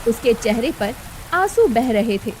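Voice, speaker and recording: A woman's voice: on the high side, very animated, unhurried, slight background noise. A woman narrating a scripted story in a dramatic storytelling style.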